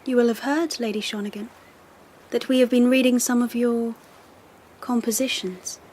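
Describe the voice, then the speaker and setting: An actress playing a haughty, icy young empress in a radio drama, imperious, formal and measured in her disdain. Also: on the high side, fairly even intonation, darkish tone, slightly rough. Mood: haughty, probing.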